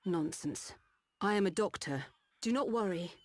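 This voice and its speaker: A lowish female voice, measured and polite, belongs to a caring doctor of a mechanical people. She speaks formally and with composure, as in a video game cutscene. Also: animated, no echo. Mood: concerned, assured. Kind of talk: scripted game cutscene acting.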